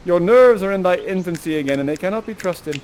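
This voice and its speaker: A man's voice: high voice, expressive, fast, a little mellow, a little hiss or hum. A man playing a bossy, exasperated old sorcerer father with blustering, commanding delivery in comic Shakespeare. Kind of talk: comic Shakespeare storytelling with modern slang.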